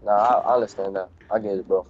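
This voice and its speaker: A man's voice: lowish voice, steady tone, fast, dull audio, crowd noise. A man in his twenties chatting with casual, slangy banter over gaming voice chat. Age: 20s. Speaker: gamer. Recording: slightly noisy game voice chat.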